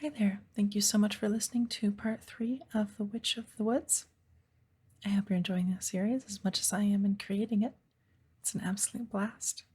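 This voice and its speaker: A woman softly addressing her listeners in a friendly, appreciative ASMR channel outro, in a hushed voice. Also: medium pitch, fairly even intonation, normal speed, husky. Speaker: audio roleplay creator.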